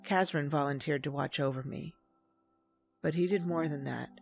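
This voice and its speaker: A woman's voice: low-pitched, moderate pace, gravelly. An actress playing a cold, vengeful female commander who narrates and plots in a space audio drama. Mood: wistful. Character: cold, scheming vengeful woman, former Sith commander. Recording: dry studio with music underneath.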